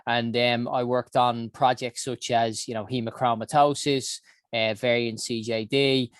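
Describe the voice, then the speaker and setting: A man's voice: mid-range voice, flat delivery, a little slow, clear, resonant tone, no echo, studio quality. A man in his early forties with a Dublin Irish accent, giving an earnest personal talk about his career, with many ums and uhs. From Ireland. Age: 40s. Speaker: health summit founder.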